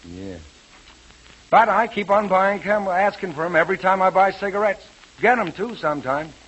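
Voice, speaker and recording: A man's voice: high-pitched, big pitch swings, moderate pace, a bit bright, husky, heavily acted, rough recording. A man acting an ordinary folksy fellow in a 1940s radio advertisement, chatting in a musing, wistful way. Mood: wistful, chatty. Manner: conversational, musing.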